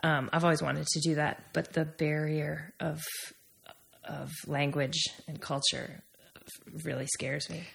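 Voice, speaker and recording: American speaker, creaky voice, medium pitch, chatting casually and fixing sentences halfway. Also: level tone, a little slow, fairly bright tone, a little hiss or hum. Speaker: conversation partner swapping travel stories. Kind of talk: casual chat.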